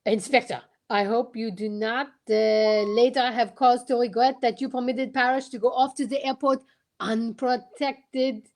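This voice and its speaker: A woman's voice: on the high side, steady tone, unhurried, darkish tone. A woman playing an assured, courteous male Belgian detective, formal and theatrical, in a radio drama. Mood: concerned, knowing. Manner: formal, theatrical, peppered with French exclamations. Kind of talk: old-time radio detective drama acting.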